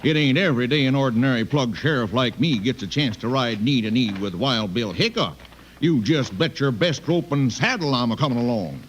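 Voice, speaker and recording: A man's voice: mid-range voice, brisk, lightly acted. A man playing a friendly, plain-spoken small-town sheriff with a folksy American Western drawl in a radio western. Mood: excitable. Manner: folksy, excitable. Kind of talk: old-time radio western acting. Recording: dull, noisy vintage radio recording.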